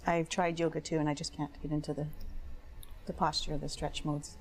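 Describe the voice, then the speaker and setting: A woman's voice: deep voice, flat delivery, normal speed, natural voice. A woman playing an injured typist giving plain, guarded and hesitant testimony. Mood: subdued, hesitant. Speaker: claimant being examined. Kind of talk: staged legal testimony.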